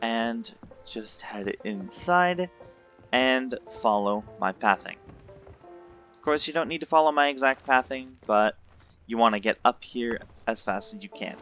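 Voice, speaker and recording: A man talks through a game walkthrough in an informal, step-by-step way. His voice is forceful and bright, on a slightly dull lo-fi recording with faint music behind. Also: mid-range voice, expressive, a little slow. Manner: instructive, informal. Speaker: video game guide maker. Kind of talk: walkthrough commentary. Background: video game music.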